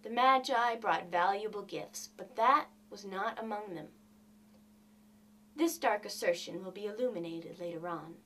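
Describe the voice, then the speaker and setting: A woman reading a classic short story aloud with theatrical, deliberate emphasis. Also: medium pitch, fairly bright tone, slight background noise, poor audio. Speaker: reader of literature. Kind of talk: expressive audiobook narration of a classic short story.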